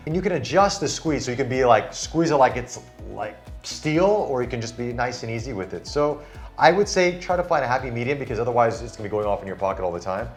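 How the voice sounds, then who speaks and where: A man reviewing a phone in casual chat, enthusiastic and demonstrating it hands-on. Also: medium pitch. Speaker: tech reviewer. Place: Singapore studio.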